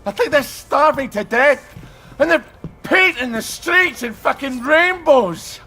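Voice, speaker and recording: A man's voice: extremely high pitch, animated, measured pace, sharp tone, heavily acted. A man playing a blunt, troubled, earnest character in a video game. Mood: exasperated.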